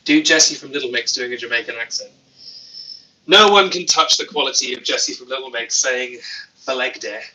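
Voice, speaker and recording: A man hosts a relaxed livestream, reading comments with deadpan, playful humor. His voice is very bright and sharp, at a middle pitch, picked up a little away from the mic. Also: normal speed, far from the mic, low-quality recording. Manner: deadpan, playful, jokey asides. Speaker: YouTuber livestream host.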